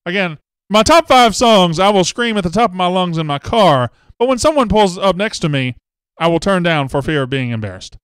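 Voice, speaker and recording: A man's voice: high voice, expressive, a little fast, a bit bright, smooth, dry recording. Chatty man hosting a live show. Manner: chatty, reads viewer comments aloud, self-mocking jokes. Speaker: host. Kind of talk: casual live-stream chat.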